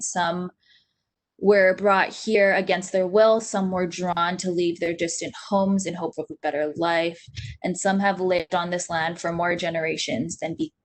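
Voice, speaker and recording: A young woman from Hawaii opening an online panel as host, speaking earnestly and steadily with frequent ums, recorded on a laptop webcam during a video call. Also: on the low side. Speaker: event host. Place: small indoor room at home.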